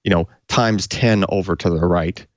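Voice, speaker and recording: A man's voice: deep voice, steady tone, moderate pace, no room sound, dull audio. A man casually explaining technical hardware as a tech show co-host, in an informal, conversational way.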